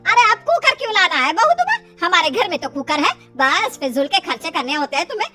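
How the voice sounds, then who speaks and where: A woman's voice: very high-pitched, sing-song, brisk, bright, forceful tone, faint music behind, heavily acted. A woman voicing a grumbling, stubborn old mother-in-law who scolds and complains in a storybook tale. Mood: scolding. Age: older.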